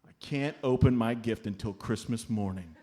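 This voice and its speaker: A man's voice: medium pitch, normal speed, warm tone, close to an everyday voice. A man playing a naive, boyish comic sidekick with an earnest, plaintive way of talking in a live radio play. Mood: protesting.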